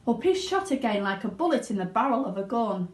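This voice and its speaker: Female children's story reader: dramatic, expressive, highly varied intonation, echoey room. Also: fairly quick. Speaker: storybook reader, school teaching assistant. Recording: roomy indoor echo.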